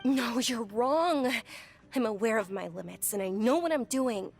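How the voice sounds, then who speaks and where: Young noble girl, highish voice with some fry, polite but stubborn, game scene. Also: animated, a little slow, darkish tone, soft background music. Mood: earnest. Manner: polite, earnest. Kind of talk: game voice acting.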